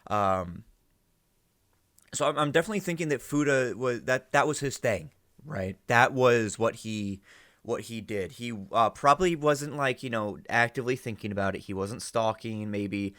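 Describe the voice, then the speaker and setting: A man's voice: mid-range voice, moderate pace, fairly bright tone, dry recording, studio quality. A man hosting a reaction video with casual, rambling, informal commentary.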